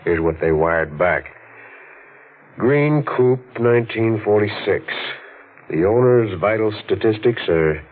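Low, deliberate district attorney. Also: male voice, animated. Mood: businesslike. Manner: deliberate, official. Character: grave, methodical male district attorney. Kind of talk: 1940s radio drama acting. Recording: tinny old radio broadcast.

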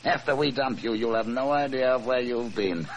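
A man's voice: medium pitch, normal speed, lightly acted. An American man playing a cold, mocking gangster boss in a 1940s radio crime drama, tough and slangy. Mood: mocking menace. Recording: vintage 1940s radio recording, faint noise.

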